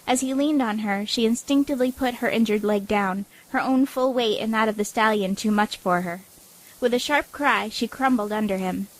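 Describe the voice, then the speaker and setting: A woman's voice: fairly high pitch, moderate pace, low-quality recording. A woman reading scripted story narration as the narrator of an audio drama.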